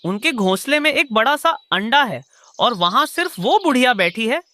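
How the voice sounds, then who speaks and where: A woman voices a lazy, wicked crow husband in a cartoon. The voice is bright and fairly high, with dramatic, lively swings in pitch. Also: normal speed. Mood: scheming, impatient.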